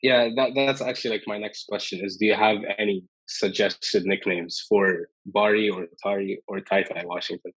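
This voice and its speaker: A man's voice: fairly low pitch, steady tone, moderate pace, hardly any pauses, dry recording, rough recording. A man interviewing someone casually, with informal, hesitant phrasing.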